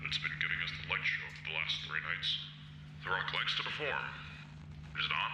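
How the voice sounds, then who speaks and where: Casting: terse, detached 1970s scientist for analog horror, creaky voice, echoey degraded tape. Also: male voice, sits low, fairly quick. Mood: detached, clinical. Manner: terse, clinical. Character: cold methodical 1970s male researcher narrating an experiment. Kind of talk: analog horror found-footage acting. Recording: noisy echoey old radio-like tape recording.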